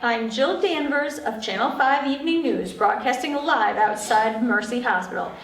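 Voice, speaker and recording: A woman's voice: on the high side, normal speed, lightly acted. A woman playing an earnest television news reporter delivering a live bulletin at a table read. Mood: earnest, grave. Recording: roomy, distant mic, faint noise.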